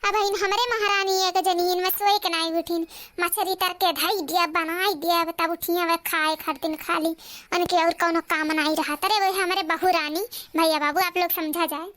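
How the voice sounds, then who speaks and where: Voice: extremely high pitch, level tone, moderate pace, pushed and bright. A performer voicing a put-upon elder village mother-in-law in a cartoon, with a Bhojpuri accent and a complaining, sarcastic, repetitive way of talking. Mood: exasperated complaining. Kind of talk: cartoon character dialogue.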